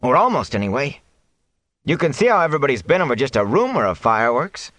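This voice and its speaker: A man's voice: medium pitch, very animated, quick pace, fairly bright tone, no echo, voice pushed up. A man voicing an eager, mischievous young village man with a teasing manner. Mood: aggrieved.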